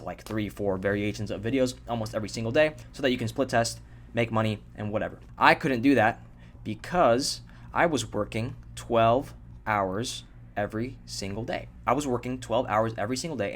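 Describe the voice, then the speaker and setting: A man's voice: lowish voice, slight background noise. A young man from Japan telling his own story in a loose, rambling vlog, with plenty of fillers and the odd swear. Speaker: vlogger.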